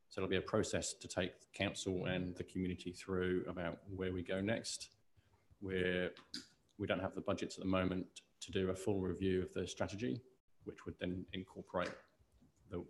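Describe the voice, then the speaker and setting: A man with a New Zealand accent, a council officer explaining plans at a meeting in a measured way. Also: low-pitched, a little hiss or hum. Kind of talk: answering questions in a meeting.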